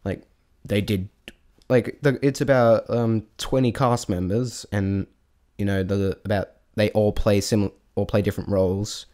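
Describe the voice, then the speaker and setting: A man's voice: lowish voice, steady tone, measured pace, warm tone. A man giving a casual, rambling spoken review, often restarting his sentences and stating blunt, informal opinions. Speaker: vlogger reviewing a stage show.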